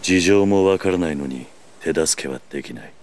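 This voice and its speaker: A man's voice: sits low, darkish tone, slight background noise, natural voice. An actor playing a stoic, principled tough man in a video game drama, speaking tersely and firmly. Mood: stern, calm. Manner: terse, firm, measured. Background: background music.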